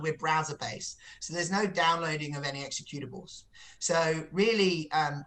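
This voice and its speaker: A man's voice: on the high side, pushed and bright. A man giving a business interview as a company executive, explaining things with frequent repeated words.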